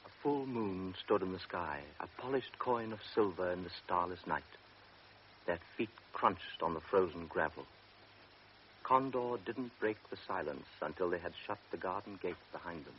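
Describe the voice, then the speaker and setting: A man narrating a radio drama in a measured, literary storytelling style. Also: sits low, lo-fi sound, a little hiss or hum.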